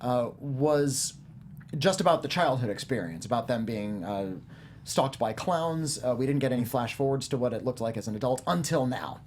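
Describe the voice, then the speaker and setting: A man hosting a casual panel discussion about films, speaking in a hesitant, halting way. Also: mid-range voice, lively intonation, slight background noise. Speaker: host of a film review panel show. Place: studio.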